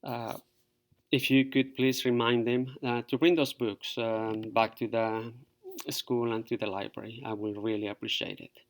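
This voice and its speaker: Middle-aged teacher, halting. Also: male voice, mid-range voice, little pitch movement. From Peru. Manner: halting, many fillers. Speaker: fourth grade teacher. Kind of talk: virtual open house presentation to parents.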